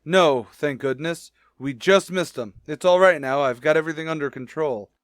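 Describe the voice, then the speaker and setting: A man's voice: on the high side, normal speed, no echo, clean studio sound, natural voice. An actor playing an earnest, naive and bashful bachelor man in old radio sitcom style, a little hesitant. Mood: urgent. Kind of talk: 1940s-50s radio sitcom acting.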